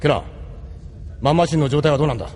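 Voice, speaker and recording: An actor playing a stern, weary, responsible male ship captain in an anime audio drama, gruff and authoritative. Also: fairly high pitch, very fast, a little mellow, a little hiss or hum, lightly acted. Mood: serious.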